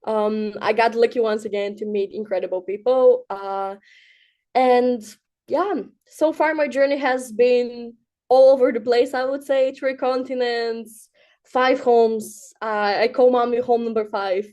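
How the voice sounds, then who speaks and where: Mellow-voiced young woman from Bulgaria. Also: fairly high pitch, fairly even intonation, unhurried. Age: 20s. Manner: chatty, many ums and uhs, light laughs. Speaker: guest. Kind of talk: casual interview answers.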